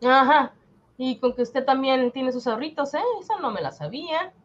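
A woman's voice: sits high, moderate pace, natural voice. A woman playing a sardonic, world-weary commoner with wry colloquial talk, in an amateur radio drama on a dull home recording.

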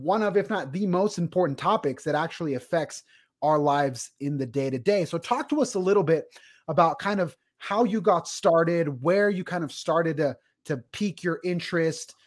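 A man hosting a podcast interview, talking in a casual, chatty way with many "kind of" and "you know" hedges. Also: on the high side. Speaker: podcast host.

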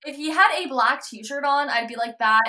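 A woman's voice: sits high, moderate pace, a loud crowd. A young woman co-hosting a podcast, chatting casually and animatedly, with lots of "like". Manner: animated, heavy use of "like". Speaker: podcast co-host. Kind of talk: casual chat.

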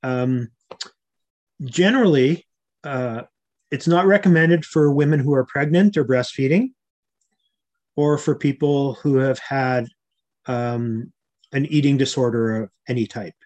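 A man in his early sixties, an interview guest, explaining health matters informally in a measured way. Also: medium pitch, a little mellow.

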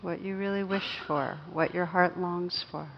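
A woman teaching guided meditation, speaking gently and unhurriedly with long pauses between phrases. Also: on the low side, fairly even intonation, dull audio, slight background noise.

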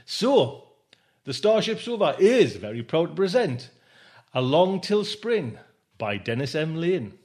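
A man's voice: fairly high pitch, very animated, measured pace, darkish tone. A man hosting a podcast in a casual, rambling, informal way, dropping in conversational tag phrases. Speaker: podcast host.

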